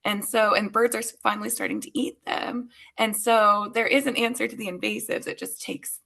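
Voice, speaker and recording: A woman co-hosting a podcast, chatting in a relaxed way and thinking aloud with fillers. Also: medium pitch, moderate pace, vocal fry. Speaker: podcast co-host. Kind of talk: casual conversation.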